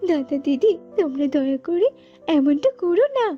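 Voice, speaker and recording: A woman voicing a poor, gentle mother bird in a cartoon, plaintive and pleading, with music behind. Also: high voice, expressive, moderate pace. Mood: desperate pleading. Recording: slightly dull audio with music behind.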